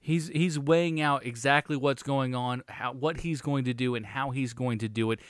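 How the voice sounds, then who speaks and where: A man's voice: mid-range voice, fairly even intonation, normal speed, clear, resonant tone, no echo, studio quality. A man co-hosting a film podcast, chatty and fond of silly quips. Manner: jokey, throws in silly quips. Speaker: podcast co-host, film reviewer. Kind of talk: casual chat.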